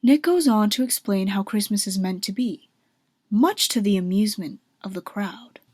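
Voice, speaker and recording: A woman's voice: medium pitch, normal speed, darkish tone, no room sound, clean studio sound. A woman reading scripted narration for a radio drama.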